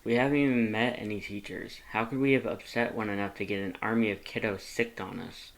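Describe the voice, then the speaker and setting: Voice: medium pitch, steady tone, brisk, natural voice, rough recording. A narrator voicing a grumpy, introverted male character with a huffy, exasperated manner in a story reading.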